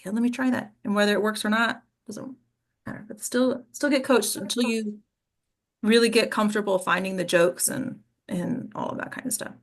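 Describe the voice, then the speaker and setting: A woman teaching a class as an acting coach, explaining informally and often checking in with the listeners. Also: on the high side. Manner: explanatory, checks in with "okay?" and "right?".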